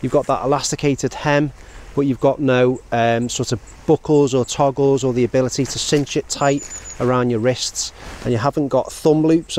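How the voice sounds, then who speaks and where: A British man in his forties giving a casual, measured product review to camera in a conversational way. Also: medium pitch, a little mellow. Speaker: outdoor gear reviewer. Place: outdoors in open countryside. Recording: outdoor ambient noise.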